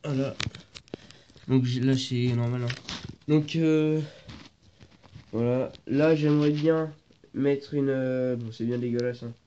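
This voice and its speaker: A man's voice: medium pitch, fairly even intonation, slow, long pauses, warm tone. A teenage boy with a stuffy cold, talking hesitantly and searching for words while filming his moped work on a phone. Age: teens. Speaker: vlogger. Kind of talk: casual moped workshop vlog. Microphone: phone camera, handheld then propped. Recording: dull lo-fi phone audio with noise.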